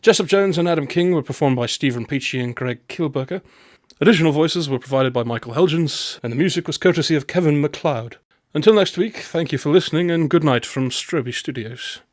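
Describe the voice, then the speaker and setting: A man's voice: fairly high pitch, a little fast, dry recording, clean studio sound. A man reading scripted closing credits as the announcer of a radio drama show.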